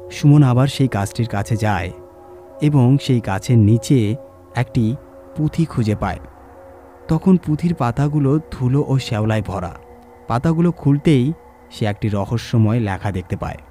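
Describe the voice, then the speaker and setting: A man narrating a scripted horror story in a measured, suspenseful, dramatic storytelling manner. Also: mid-range voice, dark tone. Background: music.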